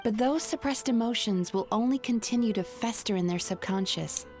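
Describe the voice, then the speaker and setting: A woman's voice: a little high, moderate pace, darkish tone, soft background music, natural voice. A woman playing an earnest, perceptive young woman counselor in a video game, speaking in composed, articulate sentences. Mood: calm, explanatory. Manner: composed, articulate, clinical explanatory phrasing. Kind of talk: scripted video game voice acting.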